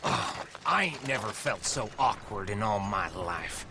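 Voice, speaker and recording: An actor playing a plain-spoken, scornful outlaw in a video game, folksy and complaining, with a rural American accent. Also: male voice, on the low side, lively intonation, unhurried, background noise. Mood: grumbling, scornful. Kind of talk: video game cinematic acting.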